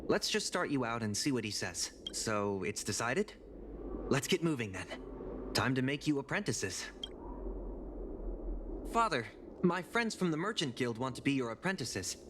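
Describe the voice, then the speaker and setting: Guy acting a helpful young son in a game, confident and coaxing, really animated pitch. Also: moderate pace, pauses often, background noise, natural voice. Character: helpful, persuasive grown child of a stern craftsman. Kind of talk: scripted game dialogue. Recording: game music behind the voice.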